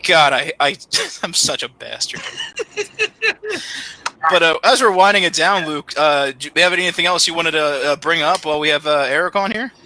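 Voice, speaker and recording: High, bright laughing young man. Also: normal speed, slight background noise. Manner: laughing, animated storyteller. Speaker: podcast host. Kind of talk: casual chat.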